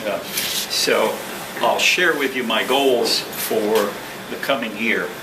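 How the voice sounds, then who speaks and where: A man speaking as a club president, giving a prepared address to a meeting in a halting, measured, formal way. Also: lowish voice. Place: indoor meeting room with ventilation hum. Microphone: distant room microphone. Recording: distant mic, echoey room, clear background noise.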